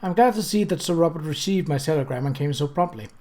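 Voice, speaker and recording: A man's voice: on the high side, fast, warm tone, lightly acted. A man voicing a firm, proper older aunt who answers matter-of-factly. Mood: brisk.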